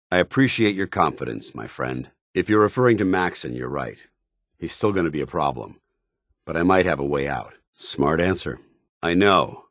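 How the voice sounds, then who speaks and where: Casting: gruff, honorable military commander for a game, rasping voice, formal and earnest, very dry close recording. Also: male voice, rumbling low, no echo, heavily acted. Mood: sincere, resolute. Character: stern, duty-bound military paladin, gruff and honorable adult man. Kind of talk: video game companion dialogue.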